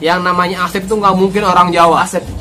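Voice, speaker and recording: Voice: medium pitch, flat delivery, fast talker, loud music behind the voice. A channel host from Bogor with a Sundanese accent, talking in a casual, joking, rambling way and often tagging on little questions. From Bogor, West Java. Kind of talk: casual chatty vlog.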